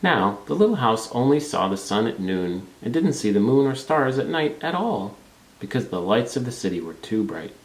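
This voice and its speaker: A man's voice: medium pitch, very animated, moderate pace. A man reading a children's storybook aloud as narrator. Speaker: storybook reader. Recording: roomy, echoing room sound.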